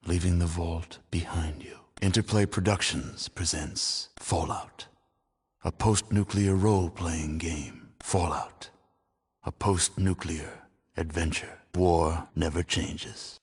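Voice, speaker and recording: An actor playing a grave, solemn narrator of a post-apocalyptic video game, speaking in a measured, dramatic style. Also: male voice, sits low, slightly rough, full character voice. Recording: long cavernous reverb.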